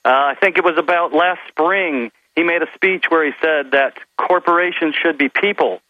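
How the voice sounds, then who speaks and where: A man's voice: fairly high pitch, moderate pace, no room sound. A man calling in to a talk radio show, earnest and indignant as he lays out his complaints. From North Carolina. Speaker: caller. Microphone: phone. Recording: dull, dry phone-line sound.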